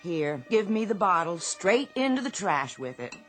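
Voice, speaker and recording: A woman's voice: fairly low pitch, very animated, normal speed, fairly bright tone, soft background music. A woman acting in a radio drama as a shopkeeper's worried, exasperated but loyal wife.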